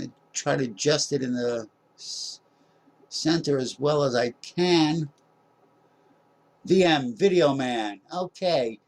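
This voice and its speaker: A man's voice: medium pitch, vocal fry. Rambling man talking through a tutorial. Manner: rambling, many "uh" fillers, self-deprecating jokes. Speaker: tutorial host. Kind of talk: casual screen-recorded software tutorial.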